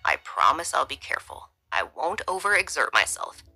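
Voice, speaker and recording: A weary middle-aged security chief, as a woman reader puts him on, speaking low and tired, often dropping to a near whisper. The tone is bright and sharp-edged. Soft music plays faintly underneath. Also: very animated, normal speed, full character voice. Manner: tired, subdued, half-whispered.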